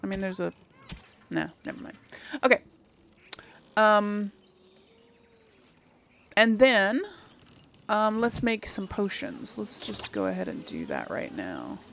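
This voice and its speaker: Woman, gaming host, thinking aloud, unhurried, creaky voice, casual murmured commentary. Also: medium pitch, big pitch swings, a bit bright. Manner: murmurs to self, reads item text aloud.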